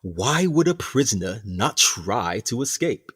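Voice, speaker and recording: Male actor as sinister captain, husky, swooping intonation, pompous, condescending, deliberate, radio play. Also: medium pitch, sing-song, fairly bright tone, heavily acted. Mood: smug, menacing. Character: smug, theatrical ship captain running a sinister prison colony.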